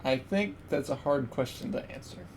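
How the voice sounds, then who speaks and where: A man's voice: mid-range voice, normal speed. A man hosting a casual group quiz chat, talking in a hesitant, explanatory way. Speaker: podcast quiz host. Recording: clear background noise.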